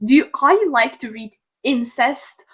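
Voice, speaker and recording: Animated, sarcastic young woman from Slovakia. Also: high-pitched, measured pace, breathy edge. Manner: sarcastic, animated, swears freely. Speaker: YouTuber. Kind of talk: opinion rant to camera.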